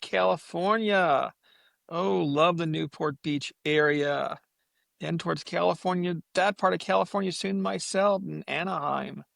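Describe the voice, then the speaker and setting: A man co-hosting a podcast, reading out shout-outs to listeners. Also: fairly high pitch, creaky, no echo, clean studio sound. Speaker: podcast co-host.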